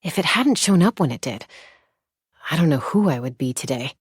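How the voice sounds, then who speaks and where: A woman voicing a confident young female gunslinger and peacemaker in a video game, with a cool, measured, self-assured delivery. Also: deep voice, expressive, husky, dry recording, studio quality. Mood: reflective, candid. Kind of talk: scripted game character voice lines.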